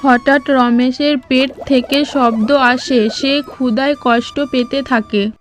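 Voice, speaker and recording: A woman's voice: high-pitched, steady tone, takes it slowly. A woman narrating a scripted cartoon folk tale as a storybook narrator. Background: background music.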